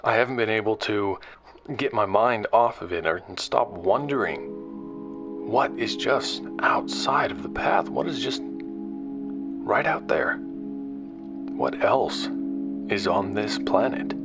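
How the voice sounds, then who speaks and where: A man playing a restless, frustrated explorer in an audio drama, speaking earnestly in halting, repeated phrases. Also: lowish voice, lively intonation. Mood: restless, brooding. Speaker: narrator and lead character. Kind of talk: audio drama acting, conversational monologue and dialogue. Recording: music behind the voice.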